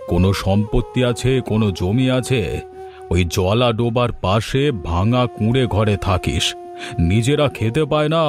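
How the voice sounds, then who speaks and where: A man's voice: mid-range voice, lightly acted. A man voicing a greedy, cruel village headman in a cartoon, harsh and scornful. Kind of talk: cartoon voice acting.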